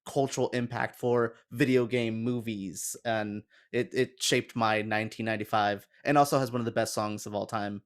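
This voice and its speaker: A man's voice: lowish voice, steady tone, normal speed, few pauses. Enthusiastic man chatting casually about films. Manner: enthusiastic, informal fan talk. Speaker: guest panelist on a gaming chat show. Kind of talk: casual film chat.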